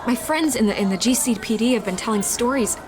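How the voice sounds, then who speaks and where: A woman's voice: a little high, fast, a crowd in the background, close to an everyday voice. Pushy woman reporter over music played by an actress. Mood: insistent, probing. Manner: insistent, coaxing. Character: pushy, probing female newspaper reporter. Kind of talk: video game voice acting.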